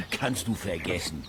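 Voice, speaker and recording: Slow, gravelly know-it-all angler. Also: male voice, mid-range voice, background noise, heavily acted. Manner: blunt, colloquial, dismissive. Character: fat, grinning, know-it-all male angler, blunt and jovial. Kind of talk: children's radio play acting.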